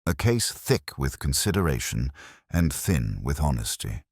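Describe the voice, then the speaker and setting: A man narrating a first-person detective story in a measured, brooding, literary manner. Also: a bass voice, sing-song, darkish tone, slightly rough, no room sound, studio quality.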